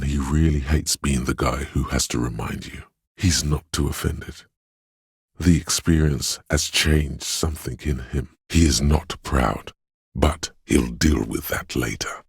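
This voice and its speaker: Very deep, husky, empathetic inner voice. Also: male voice, dry recording, studio quality, heavily acted. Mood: sombre, observant. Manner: measured, intimate, weighty delivery. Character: empathetic inner voice of a troubled detective, gentle, knowing, sombre. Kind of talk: dramatic video game narration.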